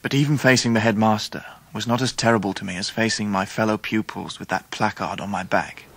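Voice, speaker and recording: English guy, looking back on his life, lowish husky voice, measured literary radio narration. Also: steady tone, dry recording. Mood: reflective. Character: grown man soberly recalling his schooldays. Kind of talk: scripted first-person narration in radio drama.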